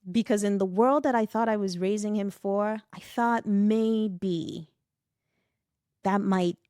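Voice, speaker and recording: A middle-aged woman from West Africa, a podcast guest in a reflective conversation, telling animated stories, laughing often and acting out the voices she quotes. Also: medium pitch, takes it slowly, clean tone, no echo, studio quality.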